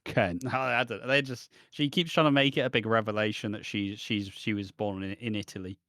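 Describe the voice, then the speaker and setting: A man's voice: fairly low pitch, steady tone, brisk, a bit bright, no room sound. Rambling British man, dry close sound. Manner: rambling, opinionated, frequent restarts. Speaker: podcast co-host. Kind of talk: casual chat.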